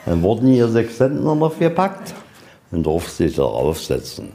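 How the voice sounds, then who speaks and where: A man's voice: lowish voice, wildly swooping pitch, normal speed, dark tone. A man guiding visitors through a museum, explaining exhibits step by step in plain, colloquial words. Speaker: museum guide. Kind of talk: explaining exhibits on a guided tour. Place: inside a museum, on location.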